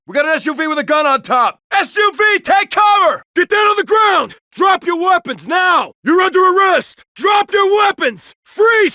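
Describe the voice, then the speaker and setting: Commanding SWAT officer, video game callouts, very high male pitch, clipped authoritative delivery, close dry sound. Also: sharp tone, dry recording. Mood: alert, urgent, then shouting, commanding. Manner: clipped, urgent, authoritative barks. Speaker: police officer player character.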